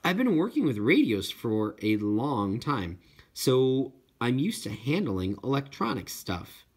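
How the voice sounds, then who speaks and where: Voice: mid-range voice, big pitch swings, a little slow, breathy edge, heavily acted. An audiobook reader voicing an eager, determined schoolgirl who loves whales, in an earnest manner. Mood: eager, hopeful.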